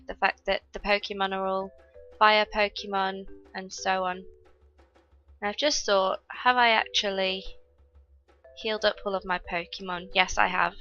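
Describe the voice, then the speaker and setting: Bright-voiced woman narrating her gameplay. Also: mid-range voice, dull audio. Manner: chatty, explains each step to viewers. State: recovering from a cold. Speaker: gaming YouTuber. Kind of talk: casual gameplay commentary.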